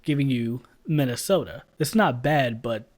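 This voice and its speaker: Brief: male voice-over reviewer, mid-pitched, blunt and sarcastic, casual. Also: lively intonation, normal speed. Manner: blunt, sarcastic, swears freely. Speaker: YouTube reviewer.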